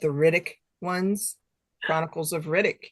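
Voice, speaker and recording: A woman's voice: low voice, steady tone, unhurried. A woman author chatting casually as an interview guest, enthusiastic and repeating phrases for emphasis. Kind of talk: casual chat.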